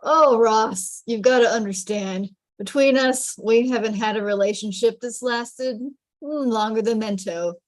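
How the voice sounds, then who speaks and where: A woman's voice: fairly high pitch, a little slow, no echo, natural voice. Woman reading as sardonic young guy, wry joker. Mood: mock earnest. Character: wry, joking young man among his buddies. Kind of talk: sitcom script table read.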